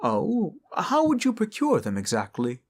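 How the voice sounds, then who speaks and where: A man's voice: sits high, huge pitch swings, measured pace, voice pushed up, heavily acted. A man voicing a polite, scheming lich necromancer in a fantasy audio roleplay, genteel yet commanding and wheedling. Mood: pondering.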